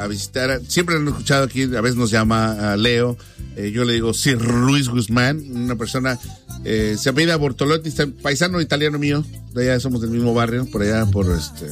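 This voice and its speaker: A man's voice: medium pitch, a bit bright. A man hosting a casual call-in radio show, reading dedications and plugs in a playful, teasing, slangy way. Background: music.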